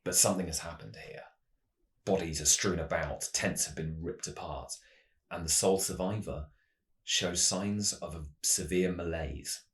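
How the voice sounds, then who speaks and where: A man narrating a fantasy tabletop adventure tutorial as a game master, with measured, dramatic storytelling phrasing. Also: low voice, steady tone, fairly bright tone, echoey room. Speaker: host, game master giving adventure advice.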